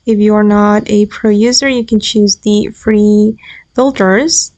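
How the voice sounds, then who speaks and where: A woman hosting a software tutorial in a casual, step-by-step narration. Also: medium pitch, steady tone, measured pace, dry recording. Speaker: tutorial host.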